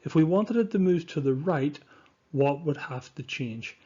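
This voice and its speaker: A man narrating a lesson as a science teacher, speaking in an instructive, deliberate way with emphatic stresses, on a dull recording. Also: on the high side, animated, warm tone, slightly rough. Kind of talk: scripted lesson narration over slides.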